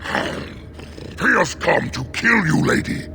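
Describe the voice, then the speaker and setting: An actor playing a fierce, loyal werewolf guarding his lady in a video game, with a gravelly, growling and forceful delivery. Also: sits high, animated, takes it slowly, background noise, heavily acted. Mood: wary, hostile.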